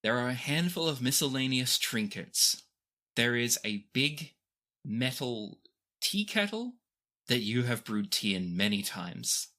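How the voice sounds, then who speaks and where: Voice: medium pitch, very animated, unhurried, pushed and bright. A game master narrating a tabletop adventure in a descriptive, conversational way.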